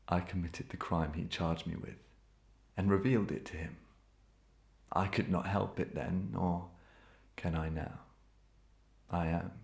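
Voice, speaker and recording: Actor as tormented melodrama murderer-husband. Low male voice, imperious archaic declamation, strongly roomy recording. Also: creaky, exaggerated voice. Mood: tormented confession. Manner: commanding, grandiose archaic declamation. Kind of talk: old-fashioned melodramatic stage acting.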